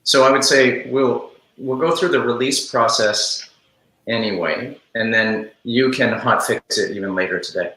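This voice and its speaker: A man's voice: mid-range voice, a little slow, sharp tone. A man leading a casual work call, giving direction while checking that the others agree. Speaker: team lead running a release call. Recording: echoey room on online call.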